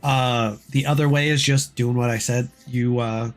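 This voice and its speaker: A man chatting casually on a gameplay livestream, rambling through game steps, his voice dry and hoarse as if he is losing it. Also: medium pitch, fairly even intonation, normal speed, faint music behind. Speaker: game streamer.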